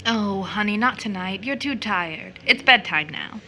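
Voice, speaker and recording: A woman's voice: mid-range voice, animated, unhurried, sharp tone, slight background noise. A woman playing a tender, wistful mother figure, soothing and affectionate in an audio stage drama. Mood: gentle, reluctant.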